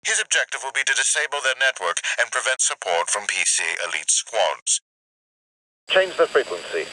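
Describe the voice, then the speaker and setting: A man's voice: mid-range voice, bright, forceful tone, dry recording, low-quality recording. An actor playing an arrogant, contemptuous male corporate military commander who talks down to everyone with sneering insults. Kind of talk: video game voice acting.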